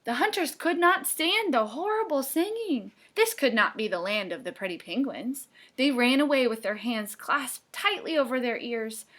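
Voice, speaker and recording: A woman reading a picture book aloud to children, playful and dramatic, with expressive narration. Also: fairly high pitch. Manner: playful, dramatic, with hushed asides. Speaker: storybook reader for children.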